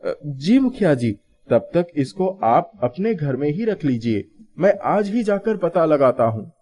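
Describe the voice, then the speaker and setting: A man's voice: a little high, very animated, a little slow, mellow. A man playing a humble, kind young herdsman who speaks earnestly in a story. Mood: respectful, earnest.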